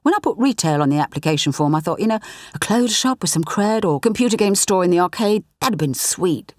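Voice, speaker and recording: A woman's voice: fairly low pitch, animated, a little fast, no echo, studio quality, natural voice. A woman voicing a cheeky, slangy fifteen-year-old schoolboy for an audiobook, over-cheerful and sarcastic. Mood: wry, sarcastic. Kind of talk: audiobook character voice.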